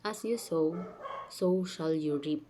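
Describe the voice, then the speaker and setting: A woman hosting a casual spiritual talk at home, sounding sick with a mellow voice, chatty with fillers and asides. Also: on the low side, slow, noisy background. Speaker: channel host. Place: home, dogs nearby.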